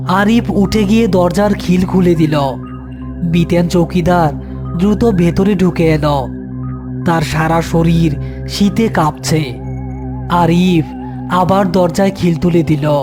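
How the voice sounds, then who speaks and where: High-pitched man narrating a horror story. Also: slow, long pauses, over music.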